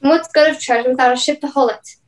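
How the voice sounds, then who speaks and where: High-voiced young female ship's captain , acted. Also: fairly even intonation, quick pace, lightly acted. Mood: stern. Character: stern but caring female ship's captain. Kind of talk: radio play acting.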